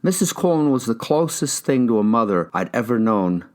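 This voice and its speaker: A man's voice: medium pitch, big pitch swings, brisk, darkish tone. A man with an American accent reading first-person crime fiction aloud as a scripted narration. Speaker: audiobook reader, actor.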